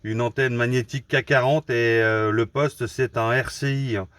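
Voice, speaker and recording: A man's voice: mid-range voice, steady tone, normal speed, fairly bright tone, clean tone, no room sound. A man from Normandy chatting casually and politely as an amateur radio operator, often repeating his phrases. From Normandy, France. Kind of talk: casual radio contact chat. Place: mobile radio station.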